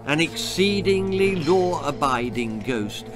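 A British man in his sixties reading a children's story aloud theatrically, with deliberate phrasing and dramatic pauses. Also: on the high side, expressive, over music. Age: 60s. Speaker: author reading his own stories. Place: small indoor room lined with books.

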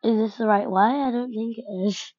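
A woman's voice: fairly high pitch, moderate pace, darkish tone, dry recording. A woman casually narrating while she decorates a game house, thinking aloud with many fillers and hesitations. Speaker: gaming vlogger. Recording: dull lo-fi home mic.

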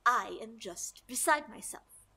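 A high, bright-voiced little boy baron played by a woman struts through a radio play. He is boastful and precocious, declaiming grandly with lively swings of pitch. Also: a little slow, slightly rough, full character voice. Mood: defiant, scheming. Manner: pompous, grandiloquent. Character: boastful, precocious rich young boy adventurer from Germany. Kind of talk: theatrical audio drama acting.

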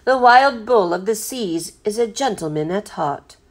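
A woman voicing a proud, courteous male butler in a storybook, speaking formally, precisely and calmly. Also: medium pitch, unhurried. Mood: composed. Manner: formal, precise, perfectly calm.

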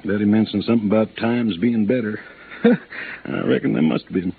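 Folksy agent, tinny old radio. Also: male voice, on the low side, normal speed, vocal fry, slight background noise. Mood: chatty. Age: 50s. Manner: folksy, garrulous, colloquial. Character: folksy, stocky insurance agent in his late fifties, talkative and riled. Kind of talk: 1950s radio drama acting.

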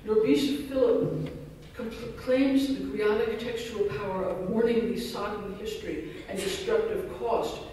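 Male keynote lecturer reading a scholarly paper, high-pitched, distant mic in a reverberant hall. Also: fairly even intonation, a little slow, few pauses, mellow, a little hiss or hum. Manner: dense scholarly phrasing, self-deprecating asides. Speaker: keynote speaker. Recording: echoey hall recorded from far off.

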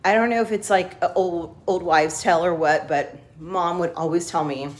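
A woman's voice: lowish voice, fairly even intonation, moderate pace, slight background noise. Congested woman vlogging in echoey room. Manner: chatty, rambling, many fillers. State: congested head cold. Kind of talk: casual chat to camera. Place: emptied home office, echoey.

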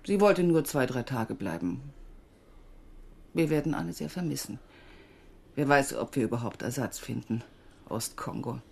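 An actress playing a weary, evasive middle-aged aid organisation manager in a German radio crime drama, husky and world-weary. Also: female voice, rumbling low, expressive, a little slow, pauses often, a little mellow, heavily acted. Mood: bitter, weary.

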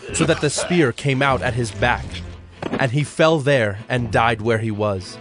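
Solemn male scripture narrator over faint music. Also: medium pitch. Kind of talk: scripted scripture narration, dramatized audio Bible.

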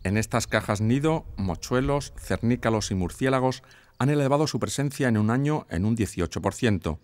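A man's voice: mid-range voice, expressive, moderate pace, darkish tone, no room sound. A man with a Spanish accent from Spain reading scripted TV magazine narration as a reporter.

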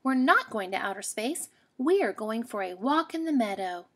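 A woman voicing a sensible mother rabbit in a read-aloud story, exclaiming her refusals high and firmly. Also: big pitch swings, normal speed, husky. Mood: decisive.

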